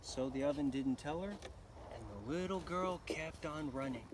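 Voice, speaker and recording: Expressive male storyteller, fairly high voice, noisy recording. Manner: expressive, dramatic, pauses to ask listeners questions. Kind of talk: live oral folktale storytelling to children. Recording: noisy live recording, clear background noise.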